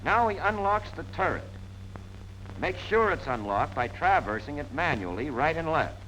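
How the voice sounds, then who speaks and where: A 1940s American military instructor with a bright, forceful voice and lively, swinging intonation. He narrates in a punchy, colloquial way, explaining each step plainly. The soundtrack is an old film recording with faint noise. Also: a little high, moderate pace, poor audio.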